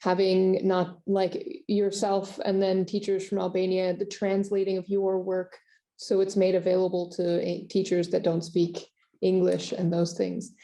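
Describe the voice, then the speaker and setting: A woman's voice: mid-range voice, monotone, unhurried, dark tone. A woman hosting a conference Q&A on a video call, friendly and affirming, with hesitant fillers. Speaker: conference host. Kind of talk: conference Q&A conversation.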